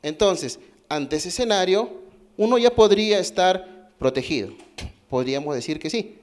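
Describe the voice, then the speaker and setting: A man's voice: high-pitched, expressive, moderate pace, darkish tone. Peruvian man giving a conference talk. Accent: Peruvian Spanish. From Peru. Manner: explanatory, asks the audience questions, frequent restarts. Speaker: conference speaker. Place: conference hall.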